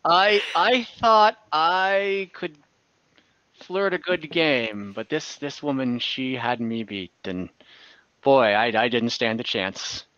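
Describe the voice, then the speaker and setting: A man acts out a rueful older male elf in a tabletop roleplaying game. He talks slowly and haltingly, earnest as he confesses his past. The sound is close and dry, but a little dull, like an online call. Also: medium pitch, expressive, bright, forceful tone, clear, resonant tone, dry recording. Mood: rueful, hesitant. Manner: hesitant, halting, earnest storytelling. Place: indoors on a group video call.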